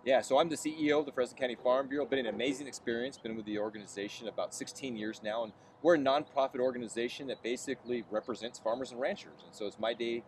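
An American man speaks quickly and fluently as an interview guest. His answers are earnest and conversational. He is recorded outdoors, slightly distant from the mic, with a faint hum behind him. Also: medium pitch, steady tone. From Central California, USA. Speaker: interview guest, farm organization chief. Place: outdoors on an empty baseball stadium field.

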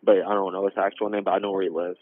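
Low, monotone young man on tinny phone. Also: no room sound. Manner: halting, informal, rambling account. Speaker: caller reporting a robbery. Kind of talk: emergency phone call. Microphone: telephone. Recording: tinny narrowband emergency phone line.

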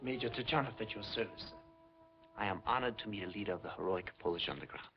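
An actor playing a polite, unctuous secret-police major who feigns sympathy in a 1950s film. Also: male voice, fairly low pitch, quick pace, natural voice. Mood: suavely courteous. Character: smooth, falsely courteous Soviet secret-police major interrogating a prisoner. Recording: dull, noisy 1950s film soundtrack.

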